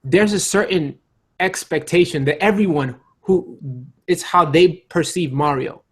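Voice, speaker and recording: Insistent man with a higher-pitched voice, debating casually. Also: measured pace, breathy edge. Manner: insistent, repeats phrases as he argues. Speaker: podcast panelist.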